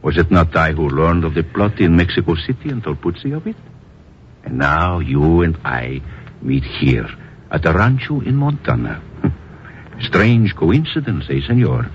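An actor playing a suave, amused schemer with a Spanish accent, speaking in a courtly, sly drawl in 1950s radio drama style. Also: male voice, deep voice, sing-song, measured pace. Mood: insinuating, pleased. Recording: muffled, hissy old radio recording.

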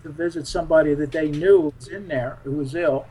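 A man being interviewed as a guest, recounting things in a halting, rambling way and often repeating his phrases. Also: on the high side, mellow, slightly rough. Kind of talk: conversational interview, recounting anecdotes.

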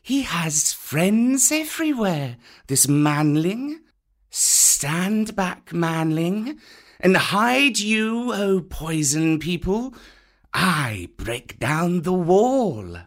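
A reader voicing a great old python who speaks slowly and with quiet command, reading a storybook. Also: medium pitch, big pitch swings, voice pushed up, exaggerated voice. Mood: amused. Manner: slow, hissing, commanding.

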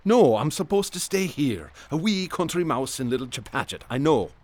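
Troubled ex cop in radio play, evasive n sardonic, really animated pitch. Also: male voice, moderate pace. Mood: defensive, wry. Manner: hesitant, sardonic. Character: troubled ex-policeman patient, defensive, wry and evasive. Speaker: psychiatric patient, former policeman. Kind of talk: radio drama acting.

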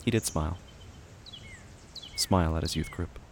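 A man narrating a scripted horror audio drama in short, deliberate, clipped fragments. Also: extremely low pitch.

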